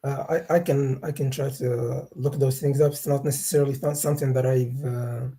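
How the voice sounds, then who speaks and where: A man calling into a live debate, halting and hesitant, stumbling over restarts while defending his view. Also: medium pitch, steady tone, mellow, slightly rough. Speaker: caller.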